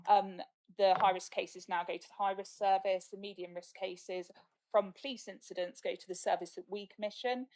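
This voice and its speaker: A woman's voice: mid-range voice, steady tone, normal speed, darkish tone, poor audio. A woman, a council officer, explaining at a meeting in a plain, matter-of-fact way. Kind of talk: answering questions at a council meeting.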